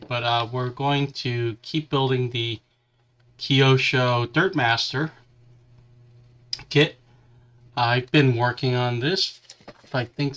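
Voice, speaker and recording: A man hosting a hobby livestream, chatting casually and haltingly while he works with his hands, still nursing a lingering cough and a stuffy nose. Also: mid-range voice, fairly bright tone. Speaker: livestream host building a model kit.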